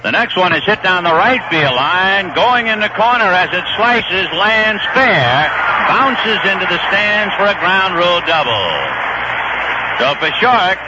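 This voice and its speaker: A man doing play-by-play baseball commentary on a vintage 1970s radio broadcast, brisk and rising on big plays. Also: high-pitched, animated, muffled recording, background noise. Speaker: play-by-play announcer. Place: ballpark broadcast booth.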